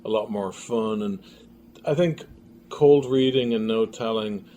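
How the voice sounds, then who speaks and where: A man's voice: fairly low pitch, measured pace, mellow. A man talking casually in an interview, hesitantly searching for his words as he reflects. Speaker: filmmaker and sound designer being interviewed. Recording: clear background noise.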